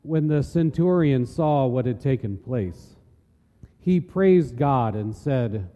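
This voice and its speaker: A man's voice: medium pitch, expressive, takes it slowly, mellow. A man narrating a solemn, dramatic scripture reading. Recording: long reverb of a large hall.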